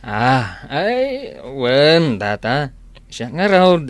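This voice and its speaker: A man's voice: medium pitch, huge pitch swings, very slow, no echo, full character voice. A man playing a teasing, hesitant bachelor drinking buddy in a radio-style drama. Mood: sheepish.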